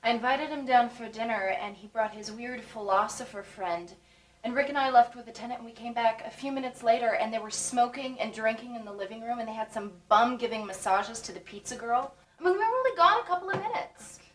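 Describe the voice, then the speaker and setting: A woman's voice: fairly high pitch, brisk, a bit bright, lightly acted. An actress playing a shy, stammering young woman. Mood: chatty. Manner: hesitant, stammering, apologetic. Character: shy, earnest young woman, easily embarrassed. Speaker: woman apologizing to a neighbor. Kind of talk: film dialogue. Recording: distant mic with faint background noise.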